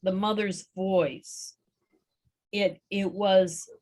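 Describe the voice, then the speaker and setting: Gravelly woman, thoughtful chat. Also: medium pitch, fairly even intonation, very slow, a little mellow. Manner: thoughtful, searching for words. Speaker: guest, former voiceover actress. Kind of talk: casual book and film chat.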